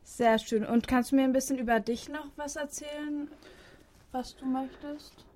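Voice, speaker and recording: A woman's voice: high voice, steady tone, normal speed, darkish tone. Upbeat woman hosting a studio show. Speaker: radio host. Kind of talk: studio presenting and interviewing. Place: radio studio.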